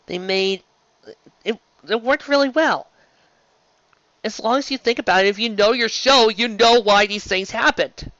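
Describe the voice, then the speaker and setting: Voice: mid-range voice, a bit bright, no echo, highs cut off. A show host giving a casual, rambling fan review in a gushing, emphatic way, often tripping over words and restarting. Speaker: host of a fan fiction live-read show.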